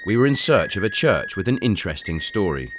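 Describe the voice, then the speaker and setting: Deep-voiced man narrating a documentary. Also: big pitch swings, brisk. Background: faint background music.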